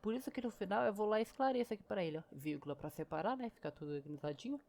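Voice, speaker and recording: A woman walks through code at a quick clip, chatty and step by step. Her pitch sits in a middle range, and keyboard typing can be heard behind her. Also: expressive, vocal fry, a little mellow. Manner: chatty, explains step by step, keeps saying "ó" and "né". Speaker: programming teacher. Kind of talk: casual screen-recorded coding lesson.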